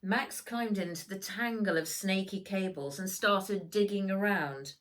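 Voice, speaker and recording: A woman narrating a children's storybook expressively, with deliberate, dramatic emphasis, as the author reading aloud. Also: mid-range voice, fairly bright tone.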